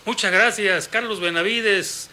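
A man's voice: high voice, a little slow, pushed and bright, slight background noise. A man hosting a sports radio interview, chatty and rambling with many fillers.

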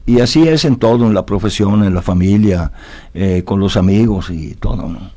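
A man's voice: fairly low pitch, moderate pace, warm tone. A man from Italy talking reflectively as an interview guest, philosophical and often tagging his points with questions seeking agreement.

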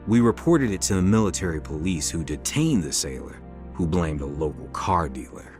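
A troubled young man in a radio testimony drama. His voice sits low but swings widely in pitch, with earnest, measured storytelling. Music plays behind him. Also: sing-song, darkish tone. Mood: somber, reflective. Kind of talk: dramatized radio testimony, scripted narration and dialogue.

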